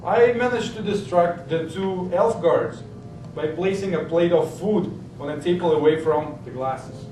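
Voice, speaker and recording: A man's voice: a little slow, a little mellow, faint music behind. A man in an amateur stage play, playing an obedient henchman who confesses in formal, recited testimony on the witness stand. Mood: confessing. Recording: echoey distant room recording, noisy, rumbling.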